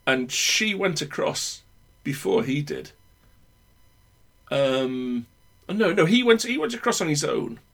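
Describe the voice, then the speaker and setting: A man with a husky, breathy edge to his voice chats on a podcast. He meanders through long anecdotes, pausing and restarting as he searches for details. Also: a little high, very animated, fairly bright tone. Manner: meandering, self-correcting storyteller. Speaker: podcast guest. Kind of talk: casual chat, rambling anecdotes.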